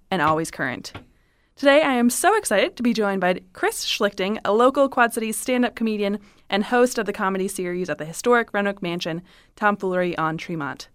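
A woman hosts a local radio show with an upbeat, friendly delivery. Her voice sits at a middle pitch and is recorded dry and close, with no room sound. She moves from a polished intro to easy conversation. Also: smooth, no echo. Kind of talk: radio interview, scripted intro then casual chat.

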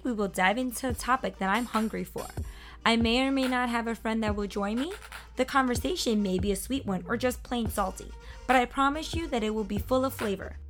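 A woman's voice: fairly high pitch, a bit bright. A woman from Hong Kong hosting a casual podcast with an upbeat, playful manner. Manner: upbeat, playful food wordplay in a scripted intro. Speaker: podcast host.

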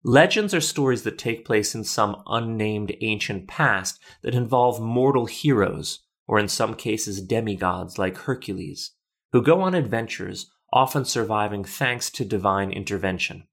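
Narrator: man, lowish pitch, literate and measured delivery of scripted documentary podcast copy. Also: animated, a bit bright.

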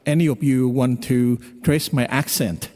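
A man with a Vietnamese accent, preaching a homily as a priest, pausing often with fillers. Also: medium pitch, fairly even intonation, mellow. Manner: halting, with frequent fillers. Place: church. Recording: long hall reverb in a church.

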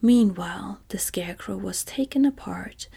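A woman's voice: mid-range voice, gravelly, slightly rough. Woman whispering a storybook. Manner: soft, measured whisper.

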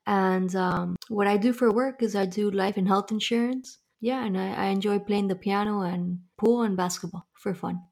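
A woman's voice: medium pitch, fairly even intonation, moderate pace, vocal fry, hardly any pauses, a little mellow. A young woman from Miami answering interview questions in a friendly, chatty way, with fillers and restarts. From Miami, Florida. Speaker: podcast guest.

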